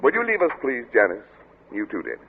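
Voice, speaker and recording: An actor playing an arrogant, obsessed middle-aged scientist, brisk and lecturing, in old radio drama style. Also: male voice, mid-range voice, huge pitch swings, music in the background. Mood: curt, insistent. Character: obsessed, arrogant male scientist, cold and impatient. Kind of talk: 1940s radio drama acting. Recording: narrow-band, noisy old radio recording.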